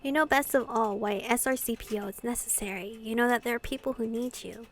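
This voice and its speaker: A woman's voice: fairly high pitch, steady tone, a little fast, crowd noise, close to an everyday voice. A woman reading as a frank, caring man. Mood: probing, supportive. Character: frank, caring male companion in visual novel.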